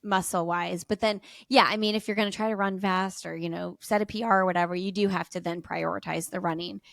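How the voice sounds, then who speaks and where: A woman's voice: on the low side, steady tone, a little fast, creaky, no room sound, clean studio sound. A chatty woman guest, a podcast host and race announcer, talking casually and laughing often. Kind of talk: casual chat.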